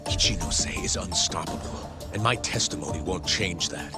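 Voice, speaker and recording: A low-voiced, stern man who will not budge, acted by a man for a game cutscene. He sounds resigned and formal, with music clearly underneath. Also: normal speed, lightly acted. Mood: cold refusal. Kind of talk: English video game cutscene dub.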